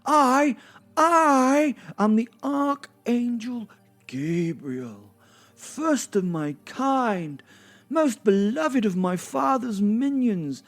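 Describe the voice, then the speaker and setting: A man voicing a pompous, wounded archangel in an audio drama, declaiming grandly and halting with outrage. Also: very high voice, lively intonation, a bit bright, voice pushed up, exaggerated voice. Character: Archangel Gabriel, pompous, wounded, indignant male angel.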